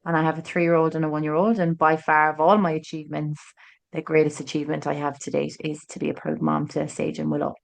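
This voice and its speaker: A woman in her late thirties with a County Kerry Irish lilt tells her story as a podcast guest. Her voice sits low, and she talks in a loose, chatty way, with plenty of "like" and "um" as she goes. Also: level tone, fast, creaky. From Ireland. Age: late 30s. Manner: chatty, warm storyteller with frequent "like" and "um". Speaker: guest author. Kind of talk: casual podcast interview. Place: studio in person with host.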